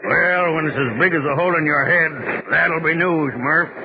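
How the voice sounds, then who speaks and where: An actor playing a gruff, sarcastic newsroom chief in a 1940s radio drama, dismissive and scoffing. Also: male voice, fairly high pitch, moderate pace, close to an everyday voice. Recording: muffled, very noisy 1940s radio.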